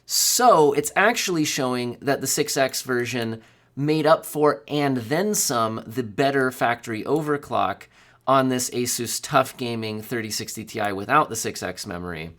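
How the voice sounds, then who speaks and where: A man with a cold-roughened, hoarse voice runs through tech news in an easygoing, conversational way. His pitch sits in the middle range, and he often stops to correct himself or drops in small fillers. He is recorded close on a studio mic in a home studio. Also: measured pace, a bit bright. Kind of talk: casual news commentary. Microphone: studio condenser mic.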